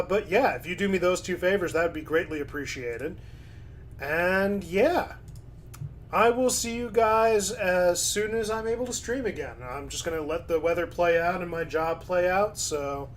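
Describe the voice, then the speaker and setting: A man's voice: a little high, a little hiss or hum. A man streaming casually, reading chat aloud and commenting in a laid-back way, his voice starting to go.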